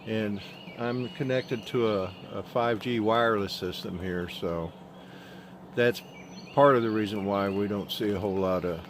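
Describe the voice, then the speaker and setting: A man with an Oklahoma drawl talks in a flat monotone, taking his time between words and filling the gaps with "uh". He is streaming live from a phone held in his hand outdoors, with a faint hiss of noise behind him. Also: fairly low pitch. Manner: slow, drawling, halting with many fillers. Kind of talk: casual live stream chat, reading viewer comments. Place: outdoors at sunrise. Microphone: handheld phone.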